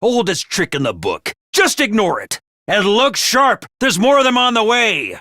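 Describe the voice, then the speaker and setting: A man voicing a peppy, bossy little mascot commanding officer in a video game, with a high cartoonish voice and theatrical, jaunty drill-sergeant delivery. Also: very animated, moderate pace, bright, forceful tone, no echo, studio quality, heavily acted. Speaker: voice actor for a video game character. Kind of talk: animated video game voice acting.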